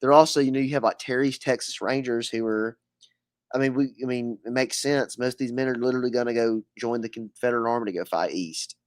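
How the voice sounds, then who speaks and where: A man with a middle-pitched voice that has gone a little scratchy. He hosts the interview in a halting, filler-heavy way. The sound is dry and close to the mic. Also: no room sound. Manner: hesitant, many fillers and restarts. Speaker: podcast host. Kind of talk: casual podcast interview.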